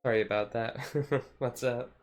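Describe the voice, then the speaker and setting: A man chatting casually on a chill livestream, rambling and halting as he goes. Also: medium pitch, flat delivery, faint music behind. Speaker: streamer.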